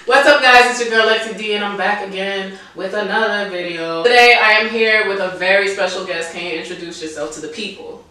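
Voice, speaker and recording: A woman's voice: medium pitch, fairly quick, hardly any pauses, sharp tone. A young woman hosting a casual interview, chatting and asking questions. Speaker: interviewer and host. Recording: very echoey room, distant mic, faint noise.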